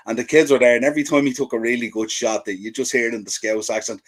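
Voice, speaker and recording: Easygoing guy on a sports podcast, mid pitch, trips over his words, chats away. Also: fairly even intonation, quick pace. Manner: chatty, stumbles and restarts, laughs easily. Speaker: podcast co-host. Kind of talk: casual chat.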